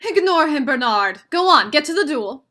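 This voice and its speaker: Woman acting very high, indignant young woman. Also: very animated, normal speed, sharp tone, full character voice. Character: excitable, indignant young woman, loyal admirer. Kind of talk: staged play reading.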